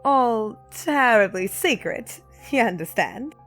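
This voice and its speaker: Woman playing a lively, cocky young elf renegade. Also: on the high side, faint music behind, exaggerated voice. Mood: brisk, confiding. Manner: brisk, playful, dramatic. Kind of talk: audio drama acting.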